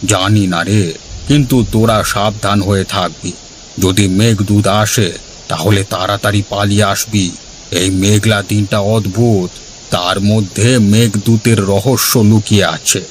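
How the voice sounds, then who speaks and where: A man playing an old village grandfather in a cartoon, gravely telling eerie legends and cautioning children. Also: fairly low pitch, steady tone, a little slow, a little hiss or hum, natural voice. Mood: worried warning. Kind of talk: cartoon storytelling.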